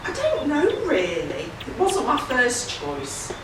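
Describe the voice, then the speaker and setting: A woman's voice: a little high, huge pitch swings, slow pace, full character voice. A woman playing a hapless, silly wandering minstrel in a stage pantomime, comic and exaggerated with hesitant turns. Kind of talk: broad pantomime stage acting. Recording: very echoey hall, distant, clear noise.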